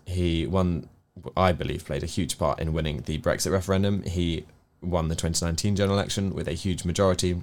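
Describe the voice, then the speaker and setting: Low-voiced English man discussing politics. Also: steady tone, a little fast, few pauses. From England. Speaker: co-host of a news review show.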